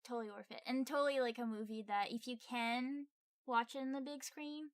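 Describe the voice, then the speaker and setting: A woman's voice: on the high side, steady tone, a little fast, dry recording. A woman co-hosting a casual film review podcast, talking informally and a little hesitantly into a close microphone. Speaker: podcast co-host.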